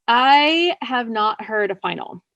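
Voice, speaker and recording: A woman's voice: a little high, expressive, slow pace, a bit bright, dry recording, muffled recording. A woman writer speaking casually as an interview guest, chatty, often laughing as she talks. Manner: chatty, laughs while talking.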